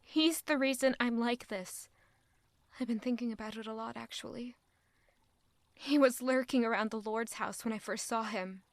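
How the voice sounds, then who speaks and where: A woman's voice: a little high, moderate pace, no echo, lightly acted. Actress as an anguished young court lady, intimate and brooding. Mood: bitter. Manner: intimate, brooding, emotionally intense. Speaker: audio drama actor. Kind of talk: dramatic first-person monologue.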